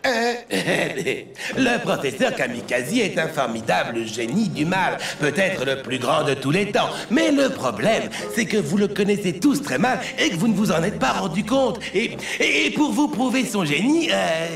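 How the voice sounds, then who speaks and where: A man's voice: sits high, big pitch swings, a little slow, vocal fry, fairly bright tone, echoey room, faint music behind, full character voice. A man voicing an anxious, dim, servile henchman in a French cartoon, hesitant and pleading. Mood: nervous flattery. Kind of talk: French cartoon dub acting.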